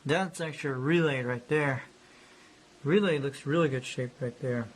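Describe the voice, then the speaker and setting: A man's voice: mid-range voice, moderate pace, a little hiss or hum. A man narrating casually as he shows off an old radio, rambling and repeating himself. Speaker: hobbyist showing a radio. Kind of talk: casual show-and-tell narration.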